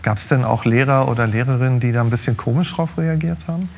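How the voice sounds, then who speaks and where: A man's voice: mid-range voice, fast, gravelly, a little hiss or hum. A middle-aged man hosting a talk show, asking questions in a conversational style. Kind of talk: conversational interviewing. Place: large TV studio.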